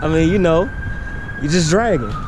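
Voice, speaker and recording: A man's voice: on the high side, animated, moderate pace. Laid-back Baltimore man on the street. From East Baltimore. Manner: laid-back slangy chatter. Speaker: vlogger. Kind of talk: casual walking vlog. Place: city street outdoors. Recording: loud street noise.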